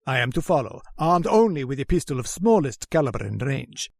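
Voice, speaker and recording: A genteel, sinister general speaks in a gravelly, fairly high voice with very lively swings of pitch. He is suave and courteous, savoring his menace in old-time radio horror style. The sound is close and dry. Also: fairly quick, no room sound. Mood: smug, measured. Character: genteel, sinister aristocratic general who hunts humans.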